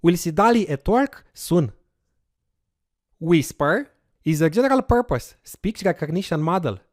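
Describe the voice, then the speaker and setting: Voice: medium pitch, expressive, a little slow, a little mellow, no echo, clean studio sound. A course instructor whose first language is Romanian, walking through a tutorial step by step. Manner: explanatory, walks through steps aloud with fillers. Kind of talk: screen-recorded coding tutorial.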